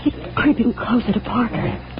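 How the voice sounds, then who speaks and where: A woman's voice: fairly high pitch, sing-song, moderate pace. A woman playing a wide-eyed, eager magician's assistant in old-time radio drama style. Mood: awed, alarmed. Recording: muffled vintage radio recording.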